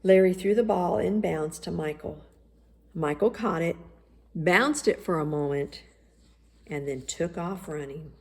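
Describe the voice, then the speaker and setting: Slow, low-pitched woman narrating a story. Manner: expressive, deliberate. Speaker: storybook reader. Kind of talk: scripted children's story narration.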